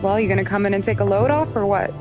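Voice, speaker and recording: A woman's voice: mid-range voice, quick pace, lightly acted. An actress playing a tough, sardonic young woman who talks bluntly and bosses people around. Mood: wry. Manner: blunt, wry, bossy. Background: game score music.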